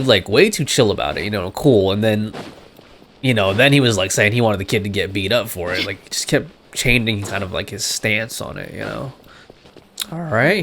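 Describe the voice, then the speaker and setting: Lowish, animated male game streamer. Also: slight background noise. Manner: slangy, reactive. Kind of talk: casual playthrough commentary.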